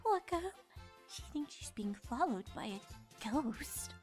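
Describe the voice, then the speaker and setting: A woman's voice: high-pitched, very animated, slow, a little mellow, faint music behind, heavily acted. A woman voicing a dramatic, fussing, motherly lady pony in a cartoon fan fiction, with gushing, refined endearments. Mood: pitying.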